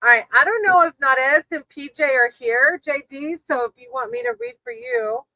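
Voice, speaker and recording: A woman with a fairly high, bright voice gives a casual tarot reading on a livestream. She speaks reassuringly and encouragingly to her viewers, and the sound is dry and close. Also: expressive, moderate pace, gravelly, dry recording, rough recording. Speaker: tarot reader hosting a livestream. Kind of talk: casual live tarot card reading with chat.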